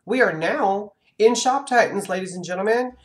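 A man's voice: high voice, normal speed. A man in his forties, congested, chatting casually on a live stream while playing a game, rambling with many ums and restarts. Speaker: gaming streamer. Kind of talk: casual live-stream chat over gameplay. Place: home room with a webcam.

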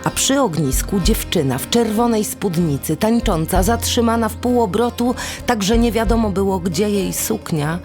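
A woman narrating a literary folktale as a storyteller, in lyrical, measured phrasing. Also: mid-range voice, expressive, over music.

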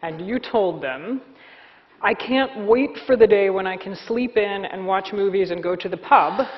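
A woman's voice: mid-range voice, measured pace. A woman in her forties moderating a stage panel, asking direct, poised questions. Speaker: interviewer. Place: stage set with audience, indoors. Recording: muffled hall with long reverb.

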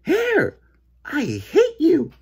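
A woman voicing an excitable, cross young boy in a children's storybook, with a dramatic delivery. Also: fairly high pitch, a little slow, breathy edge, voice pushed up, full character voice. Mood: furious.

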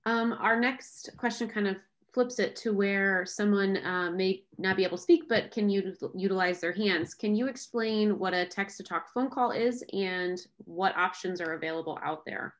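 A woman's voice: medium pitch, moderate pace, few pauses. A woman moderator reading out questions on a webinar over a video call.

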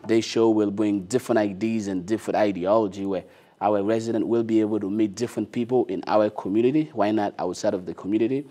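Warm, earnest young man, English not his first. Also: fairly low pitch, steady tone, fast. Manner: earnest, eager, many short affirmations. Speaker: guest, city councilor. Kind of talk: casual studio interview. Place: TV studio with dark curtains. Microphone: lapel mic.

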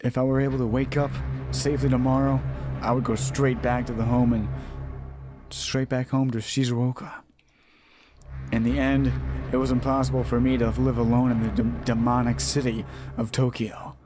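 A man's voice: medium pitch, little pitch movement, normal speed. A man narrating a horror visual novel as a let's play host, reading aloud and sometimes stumbling and rereading phrases. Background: game music.

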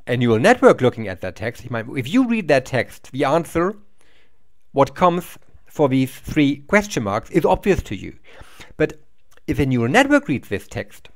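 A middle-aged man lecturing from a small room to a webcam. His pitch moves up and down a lot as he explains step by step, and he often repeats or restarts a word mid-sentence. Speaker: university lecturer. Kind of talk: recorded lecture over slides.

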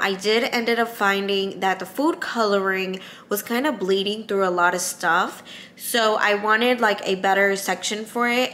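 Young woman, mid-pitched, a bit raspy from a cold; loose, filler-filled home vlog narration. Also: fairly bright tone, slight background noise. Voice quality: slightly raspy. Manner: chatty, filler-heavy, rambling. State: coming down with a cold. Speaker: vlogger. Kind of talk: casual voice-over vlog narration.

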